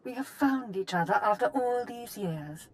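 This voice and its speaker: A woman's voice: on the high side, lively intonation, moderate pace, close to an everyday voice, rough recording. An actress playing a romantic, scheming noblewoman in a video game, reading a love letter in a genteel, theatrical manner. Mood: loving, scheming.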